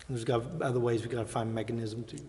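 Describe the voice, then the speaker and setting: A man's voice: mid-range voice, level tone, racing, darkish tone, slight background noise. A man speaking in a colloquial, folksy way as a council member in discussion. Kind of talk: meeting discussion. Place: indoor council chamber. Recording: reverberant council chamber.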